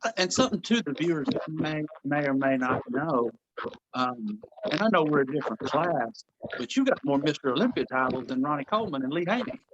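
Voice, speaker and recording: A man's voice: a little high, animated, brisk. A man in his forties co-hosting a casual podcast, speaking in a loose, slangy way. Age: 40s. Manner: casual, slangy, calls people bro. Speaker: podcast co-host. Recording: dull, noisy call audio.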